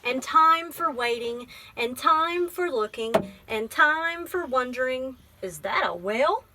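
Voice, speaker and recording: A woman's voice: high-pitched, animated, a little slow, background noise. A woman library teacher reading a picture book aloud, explaining things simply and prompting with questions.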